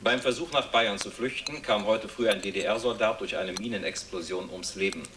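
A man's voice: fairly low pitch, moderate pace, a bit bright, a little hiss or hum, close to an everyday voice. A man playing a German evening news announcer, reading formally and matter-of-factly. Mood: sober, official. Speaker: television news anchor. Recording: distant television sound, voices talking over. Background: family talking at dinner.

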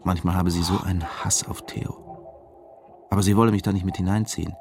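A brooding diving instructor in a radio play, with a low, gravelly voice. He sounds weary and reflective, as in a literary confession, with music behind him. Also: fairly even intonation, normal speed. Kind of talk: radio drama first-person narration and dialogue.

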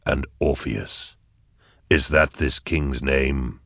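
Relentless male interrogator, put on by a male narrator: extremely low, slow and husky, terse audiobook menace under strain. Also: a bit bright, no echo, studio quality, exaggerated voice. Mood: grim, insistent. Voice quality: husky, grunting. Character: grim, commanding male interrogator, straining with concentration. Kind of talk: dramatised audiobook dialogue.

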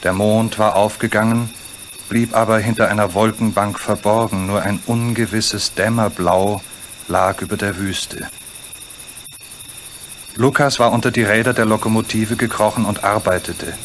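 A man narrating a radio play story in a measured, literary manner. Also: fairly low pitch, fairly even intonation, a bit bright, a little hiss or hum.

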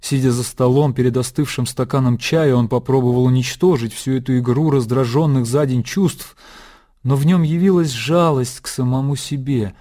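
A man narrating a literary radio play in a measured, composed storytelling manner. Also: mid-range voice, mellow. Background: music.

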